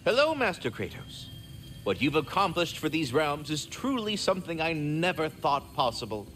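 Polite fussy talking squirrel from a video game, very wordy, pitch goes all over, faint ambience behind. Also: male voice, sing-song, moderate pace, a bit bright, a little hiss or hum. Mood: warm, grateful. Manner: formal, wordy, polite, theatrical. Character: courteous, fretful, erudite magical squirrel, keeper of World Tree. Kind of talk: video game character acting.

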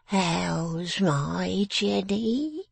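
Lady reader doing a drunk pathetic dad, super slow thick slurred speech, gravelly, timid and pleading, storybook. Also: on the low side, animated, no room sound, voice pushed up, exaggerated voice. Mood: timid wheedling. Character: maudlin, submissive middle-aged drunkard father, whining and timid.

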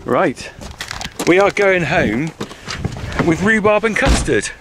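Chatty farmer, outdoor vlog. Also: male voice, sits high, sing-song, slow pace. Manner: cheerful, chatty, asks lots of questions. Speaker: farmer vlogger. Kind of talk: casual vlog chat. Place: outdoors on a farm and in a car. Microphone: handheld camera selfie. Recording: noisy outdoor camera audio.

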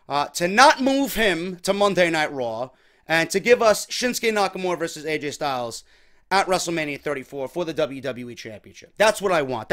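Young New man hosting a home wrestling talk show, a bit hoarse from overuse, higher-pitched, energetic and chatty. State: slightly worn voice. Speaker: podcast host. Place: small home room. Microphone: desk mic on boom arm.

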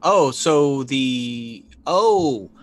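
A man in his forties playing a tabletop roleplaying game, casually narrating his character's actions and thinking aloud haltingly. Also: mid-range voice. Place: indoor room, online video call.

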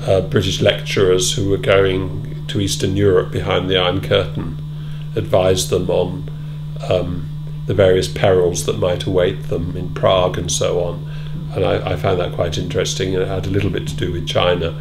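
A middle-aged man being interviewed in a wood-panelled room, answering in a measured, thoughtful way with many ums and repeated words. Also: low-pitched, little pitch movement. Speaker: interviewee, former British Council officer. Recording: loud hum behind.

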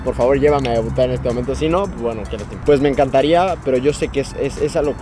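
A young Mexican man in his late teens chatting casually with a friend at an outdoor table, quick and laughing easily. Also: mid-range voice, over music. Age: young adult. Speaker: YouTuber and goalkeeper. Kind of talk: casual chat. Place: outdoor terrace by a lawn.

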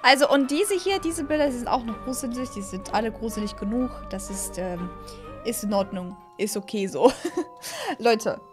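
A young adult woman giving casual, excitable gameplay commentary as a host, repeating phrases and stumbling over words, close on a studio mic. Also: on the high side, moderate pace, over music. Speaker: gaming video host. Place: small room at a gaming setup, indoors. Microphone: close studio mic on boom arm.

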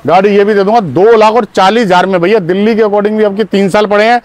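A middle-aged man with a fairly high voice, selling used cars in a punchy, energetic pitch. He keeps addressing the listeners as his brothers. He is recorded outdoors on a car lot with a clip-on lapel mic. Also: quick pace, no room sound. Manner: punchy, repeats "my brothers" often.

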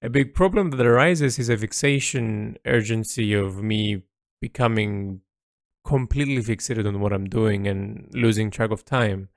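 Gravelly-voiced man, halting and introspective. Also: fairly low pitch, no room sound, studio quality. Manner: halting, introspective, searching for words. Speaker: artist narrating an audio log. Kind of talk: reflective spoken monologue.

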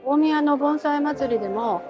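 Woman filming as she walks round, talking to viewers, bit high pitched, music playing behind. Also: unhurried, highs cut off. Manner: chatty, talks to viewers while filming. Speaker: vlogger touring a bonsai expo. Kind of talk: casual walking commentary. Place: bonsai pavilion and garden tents, partly outdoors. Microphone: handheld camera, speaker off screen.

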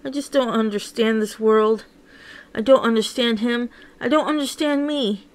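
Female story narrator, slightly high voice, emotive and theatrical first-person read-along. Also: normal speed, a little mellow.

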